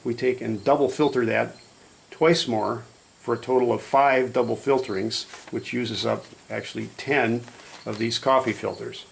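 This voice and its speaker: A man with a creaky, gravelly voice at an ordinary male pitch gives an informal hands-on demonstration. He explains each step in a matter-of-fact way, with faint noise behind him. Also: fairly even intonation, normal speed. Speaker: hobbyist demonstrator.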